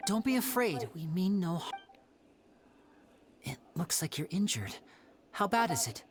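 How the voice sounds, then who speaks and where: A woman playing a polite, gentle traveler with a courteous, reassuring way of talking, in video game dialogue. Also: fairly low pitch, big pitch swings, unhurried, slight background noise.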